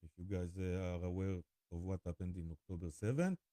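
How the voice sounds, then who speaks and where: A man with an Israeli accent talking informally to camera, halting often as he pauses and repeats himself. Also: low voice, mellow, no room sound. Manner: halting, with frequent pauses and repetitions. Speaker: spiritual vlogger. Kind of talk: rambling informal talk to camera.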